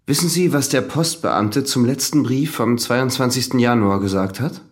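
A composed examining magistrate , played by a man, speaks at a medium pitch. He is courteous yet in full command, in the manner of a radio drama. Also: moderate pace, close to an everyday voice. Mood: composed, authoritative.

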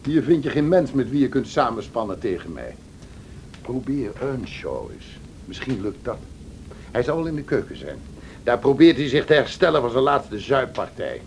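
An actor playing a cruel, mocking, domineering master of the house in a radio drama, sneering and commanding. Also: male voice, medium pitch, sing-song, moderate pace, creaky, slight background noise, rough recording.

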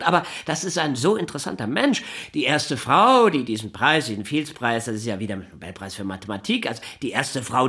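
A flustered middle-aged male science editor in a radio drama. His voice is husky and very animated in pitch. He talks in halting, digressive stretches, often breaking off and starting again, a little on the defensive. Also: heavily acted. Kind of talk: naturalistic radio drama dialogue.

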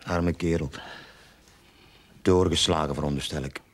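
An actor playing a guarded bank director in a radio play, formal, hesitant and curt, with a husky voice. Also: male voice, very deep voice, fairly even intonation, unhurried, a little hiss or hum. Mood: formal, regretful. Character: stiff, guarded male bank director, officious.

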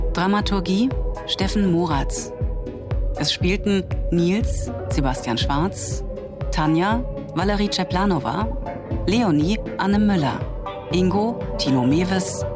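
A woman announcer reading scripted radio drama credits. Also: lowish voice.

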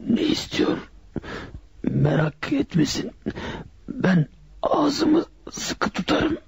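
An actor playing a gravely wounded robber in a radio drama, weakly gasping out broken phrases as he dies. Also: extremely high pitch, big pitch swings, very slow, no room sound, full character voice. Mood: weak, loyal. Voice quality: weak, gasping, breathy whisper. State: dying, struggling for breath. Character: gravely wounded male robber, dying, loyal to his boss. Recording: dull lo-fi radio recording.

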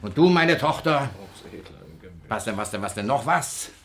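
An actor playing a fussy old miser and master of the house in a radio play, hesitant and repeating himself. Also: male voice, mid-range voice, expressive, measured pace, lightly acted. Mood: irritable, scolding. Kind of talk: theatrical German radio play acting.

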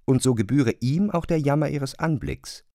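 A man with speech narrating a literary radio drama in a sober, measured storytelling manner. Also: mid-range voice, warm tone, dry recording, clean studio sound.

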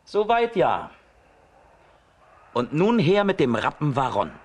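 A man's voice: a little high, wildly swooping pitch, slow pace, full character voice. A man playing a bold, defiant young fairy-tale hero in a children's radio play, speaking with earnest determination. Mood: triumphant.

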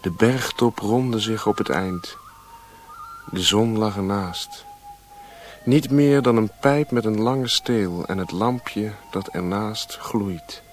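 A world-weary wanderer in a radio monologue. His lowish voice moves slowly and poetically, dreamy and resigned, over clearly audible music. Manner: measured, poetic, reflective.

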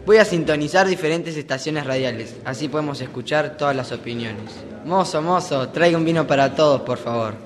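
A young man playing a calm, conciliatory patriot leader in an amateur student radio play. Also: fairly high pitch, fairly quick, few pauses, over music, lightly acted. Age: teenager or young adult.

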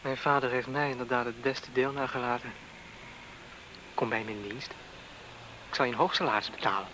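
An actor playing a young dressmaker, earnest and theatrical, in a 1970s radio play. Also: male voice, medium pitch, steady tone, brisk, noisy background, close to an everyday voice. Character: young male dressmaker, meek but catty critic.

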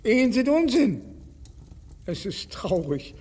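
An actor playing a fussy, doting elderly father in a radio play, a gentleman who dislikes any change. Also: very high-pitched, very animated, slow pace, breathy edge, big-room sound, heavily acted. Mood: plaintive, doting.

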